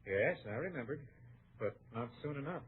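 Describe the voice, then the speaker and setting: A man's voice: mid-range voice, lively intonation, moderate pace, a little hiss or hum, lightly acted. An actor playing a desperate, self-justifying townsman in an old American radio western, with an earnest, dramatic delivery. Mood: resigned, defensive. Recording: muffled, narrow-band old radio recording.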